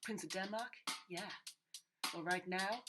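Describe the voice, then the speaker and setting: A woman plays a brash young prince turned rapper, all swagger and bounce as the lines ride the rhythm. A loud, pounding beat fills the track behind the voice. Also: mid-range voice, measured pace, lightly acted. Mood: hyped rapping. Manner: hyped, rhythmic rap delivery. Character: brash young male prince rapping a famous speech. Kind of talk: comic rap performance. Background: loud music beat.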